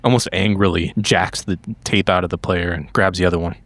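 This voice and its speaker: A man's voice: low voice, steady tone, a little fast, a bit bright, no echo. A man playing a tabletop game, narrating his character's actions in the third person in a conversational way.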